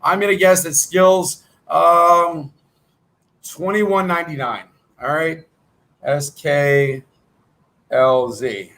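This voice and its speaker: High, bright-voiced man coaching traders. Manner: brisk salesy patter, thinking aloud. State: losing his voice. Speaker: trading coach. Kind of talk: live stream chat.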